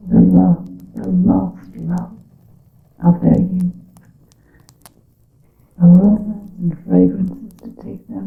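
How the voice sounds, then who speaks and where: A woman whispering an ASMR roleplay as a grave witch, in slow, hushed, theatrical phrasing with a breathy voice. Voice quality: breathy whispering mixed with soft low voice. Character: grave witch counseling her coven in secret. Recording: muffled lo-fi, echoing room.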